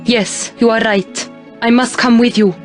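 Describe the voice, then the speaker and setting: A woman's voice: on the high side, steady tone, measured pace, lightly acted. A woman playing a tender, determined long-lost princess sister in a dramatic video game cutscene. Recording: soft music behind the voice.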